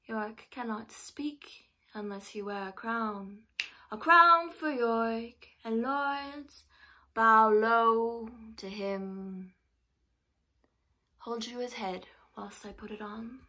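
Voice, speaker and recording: A woman's voice: on the high side, drawn-out words, sharp tone, full character voice. A woman performing a vengeful, mocking queen in a Shakespearean monologue, theatrical and declamatory. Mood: gloating, commanding. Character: vengeful, mocking English warrior queen taunting a captive.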